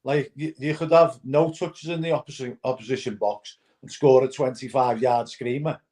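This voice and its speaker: A man's voice: mid-range voice, steady tone, normal speed, mellow. A man chatting casually as a fan panelist after a match, using many fillers and often checking that he is understood. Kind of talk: post-match reaction chat.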